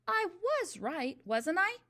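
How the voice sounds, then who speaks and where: A woman's voice: high voice, huge pitch swings, takes it slowly, a bit bright, voice pushed up, heavily acted. A woman voicing a small, frightened schoolboy who answers nervously. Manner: nervous, hesitant.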